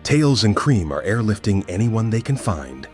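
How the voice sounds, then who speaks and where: A man acting a grim, guilt-ridden ninja chameleon in a comic dub, speaking in a solemn, measured way. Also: fairly low pitch, sing-song, darkish tone, soft background music. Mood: grave, tired.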